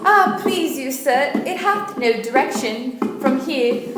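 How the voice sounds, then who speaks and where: A woman's voice: high-pitched, lively intonation, slow, heavy background noise. A woman playing an earnest, naive young medieval damsel in a radio play, speaking formally and guilelessly. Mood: rambling. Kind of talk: old-time radio play acting. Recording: echoey room, music behind.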